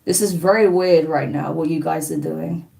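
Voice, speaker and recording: A woman's voice: deep voice, steady tone, moderate pace, vocal fry, warm tone, distant mic. A woman with a slight New Zealand twang hosting a live card-reading stream, chatting casually and rambling as she reads viewer comments and bluntly dismisses trolls.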